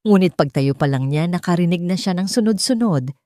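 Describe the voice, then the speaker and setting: A woman narrating a dramatic audiobook story in measured, suspenseful phrases. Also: fairly low pitch, animated, dark tone, no room sound, studio quality.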